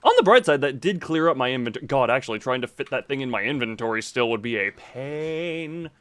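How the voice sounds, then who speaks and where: A man's voice: mid-range voice, animated, a little fast, fairly bright tone, dry recording. A man doing casual live video game commentary, rambling playfully to his viewers and repeating himself as he exclaims.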